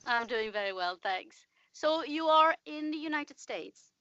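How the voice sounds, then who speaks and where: A woman's voice: high voice, expressive, a little slow, a bit bright, no echo. A woman hosting a podcast interview, on a slightly muffled recording. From United Kingdom resident.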